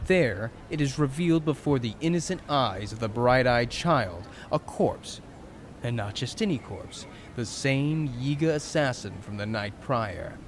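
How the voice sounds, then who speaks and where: Guy narrating an audio drama, really animated, mid pitch, bit of background noise. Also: measured pace. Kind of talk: dramatic scripted story narration.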